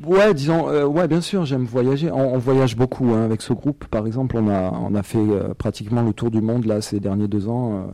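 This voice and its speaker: Man, mellow voice, dry close sound, casual hesitant answers, frequent fillers, light laughter. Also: medium pitch, a little fast, hardly any pauses, dry recording. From France. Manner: relaxed, hesitant, many fillers, laughs often. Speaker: interviewed singer and musician. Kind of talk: casual radio interview.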